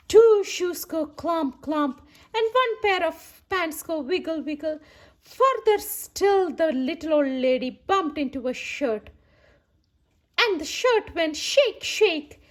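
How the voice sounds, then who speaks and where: A woman hosts a children's read-aloud in a high voice. Her intonation moves up and down a lot, and she takes her time, stressing repeated words. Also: sing-song, takes it slowly. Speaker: children's story reader and host.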